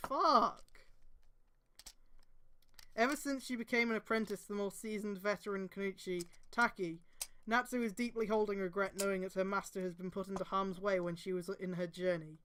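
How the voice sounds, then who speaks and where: A woman's voice: medium pitch, fairly even intonation, normal speed, fairly bright tone, a little hiss or hum. A woman reading amateur fan fiction aloud on a stream, breaking off for casual, exasperated asides with some swearing.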